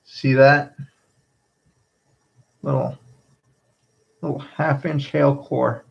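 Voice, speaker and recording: A man doing casual live storm spotter commentary for his stream, halting and repeating phrases as he addresses viewers. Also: mid-range voice, steady tone, gravelly, highs cut off.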